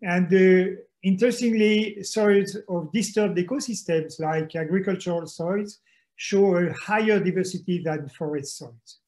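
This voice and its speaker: Older man, scientist on a video call from home, slow halting delivery with lots of fillers, slightly raised pitch. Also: a little mellow. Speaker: soil scientist panelist. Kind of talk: online conference presentation. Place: home room, indoors.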